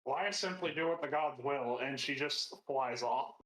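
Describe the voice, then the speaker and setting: A woman's voice: rumbling low, normal speed. A woman speaking casually as a player in a tabletop roleplaying game, describing her character's actions. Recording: echoey, reverberant room.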